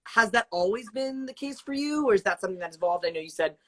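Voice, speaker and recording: A woman's voice: mid-range voice, expressive, fast, a bit bright. A woman in her twenties hosting an interview over a video call, affirming and thoughtful, with many fillers as she asks questions. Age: 20s. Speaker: host. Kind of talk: casual interview chat.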